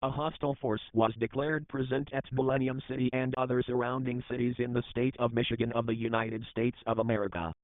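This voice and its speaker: A man's voice: medium pitch, fairly even intonation, fairly quick, hardly any pauses, dry recording, narrow, tinny audio, close to an everyday voice. A man playing a formal emergency broadcast announcer, reading a scripted alert. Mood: grave, formal.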